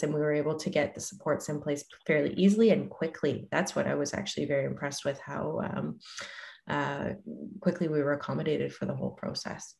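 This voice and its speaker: A woman giving a personal account on a video panel, speaking in a hesitant, halting way. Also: deep voice, gravelly. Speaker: panelist recounting a loved one's care. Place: indoor room on a video call. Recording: echoing room over video call.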